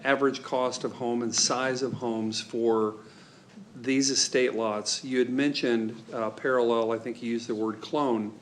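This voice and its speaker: A man on the council asks an applicant questions at an even, measured pace. He sounds courteous and deliberate. Faint background noise hums under his voice. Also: mid-range voice. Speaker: council member.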